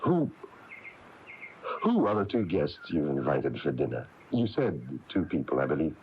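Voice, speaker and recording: An actor playing a genial, inquisitive small-town minister in a radio drama, coaxing and deliberate. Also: male voice, rumbling low, huge pitch swings, exaggerated voice. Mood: curious, coaxing. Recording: dull, noisy taped AM radio broadcast.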